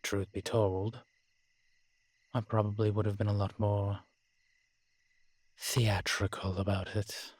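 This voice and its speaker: A man playing a world-weary, morose male vampire in a roleplay audio drama, speaking in a measured, formal, brooding manner with sighs and pauses. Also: fairly low pitch, level tone, a little mellow, dry recording. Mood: darkly wry. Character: weary old male vampire, morose realist, formerly cruel.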